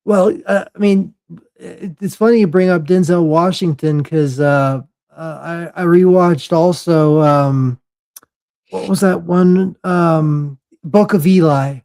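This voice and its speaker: A man in his forties hosting a podcast, talking casually with lots of halting restarts. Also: a little high, vocal fry, a little mellow. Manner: halting, many fillers and restarts. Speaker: podcast host. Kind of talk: casual chat.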